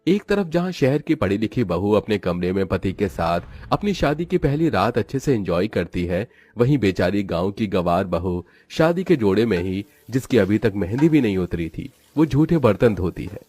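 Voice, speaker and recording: A man's voice: fairly low pitch, sing-song, normal speed, few pauses, a little mellow, husky. A man narrating a cartoon moral story from a script.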